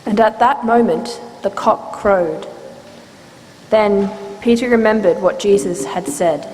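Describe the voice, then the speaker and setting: A woman giving a measured, solemn scripted narration of scripture as part of a dramatic reading. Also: mid-range voice, darkish tone. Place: inside a church, live reading. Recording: reverberant church hall, faint noise.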